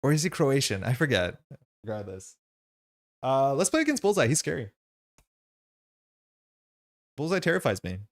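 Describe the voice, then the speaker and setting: A man's voice: medium pitch, very animated, moderate pace, pauses often. A man whose first language is Slovenian, hosting and chatting casually and ramblingly while playing a card game. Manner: chatty and rambling, with frequent fillers and asides. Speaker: host.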